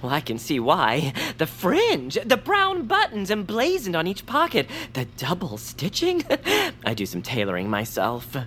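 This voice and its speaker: A woman playing an excitable, earnest small male bird-like creature in a family audio comedy, eager and wordy, with gasps and exclamations. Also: fairly low pitch, a little slow, hardly any pauses, slight background noise, full character voice. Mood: eager, polite.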